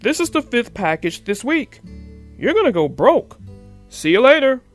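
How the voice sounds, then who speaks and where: A woman's voice: fairly high pitch, big pitch swings, normal speed, no room sound, soft background music. A woman voicing a chummy male bear mailman in a children's storybook, talking in a folksy, familiar way. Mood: teasing warning.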